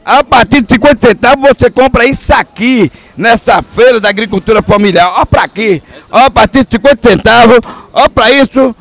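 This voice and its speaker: Bahian guy in middle age, really high voice, loud playful street reporter w handheld mic, lots of exclaiming. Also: brisk, creaky. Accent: Bahian Brazilian. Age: middle-aged. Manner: boisterous, exclamatory, playful banter. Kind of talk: lively street interviewing. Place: busy outdoor street market. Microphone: handheld reporter microphone.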